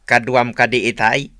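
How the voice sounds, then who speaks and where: A man's voice: medium pitch, bright, forceful tone, no echo. A man playing a teasing drinking buddy in a comic radio drama, drawling and mocking, with an Ilocano accent. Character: teasing, slow-talking male drinking buddy.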